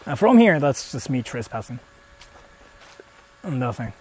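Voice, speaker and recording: A young man talks to his live-stream audience through a phone mic as he wanders outdoors. His voice is slightly husky and bounces up and down in pitch, and his casual chatter is full of fillers and swearing. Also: big pitch swings, unhurried, poor audio. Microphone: phone held while streaming.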